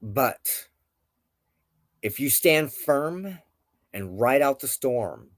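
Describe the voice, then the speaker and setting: A man hosting a spiritual tarot reading, speaking reflectively in deliberate phrases with frequent pauses. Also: medium pitch, very animated, no echo. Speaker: host of a spiritual tarot show. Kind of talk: tarot card reading, reflective spoken commentary.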